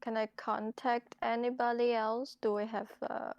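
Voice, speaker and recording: A woman playing a tabletop roleplaying game, chatting casually in character in a hesitant, low-key way. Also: flat delivery, moderate pace, no echo, muffled recording.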